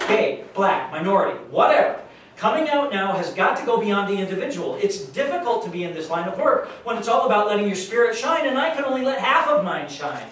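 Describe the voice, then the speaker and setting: A man acting an earnest, troubled youth worker bares himself in an emphatic, confessional stage monologue. His pitch is a little high, and he sounds far from the mic in a very echoey room with faint noise. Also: fairly bright tone. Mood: anguished, emphatic. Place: live staged reading in a large room.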